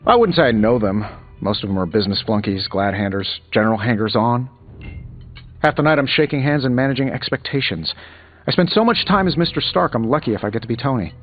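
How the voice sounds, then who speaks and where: A man acts out a wry billionaire game hero, quick with flippant quips. His medium-pitched voice swings animatedly up and down. The sound is a little dull, with music playing behind. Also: expressive, natural voice. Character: glib, quippy billionaire hero, adult man. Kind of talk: video game character dialogue.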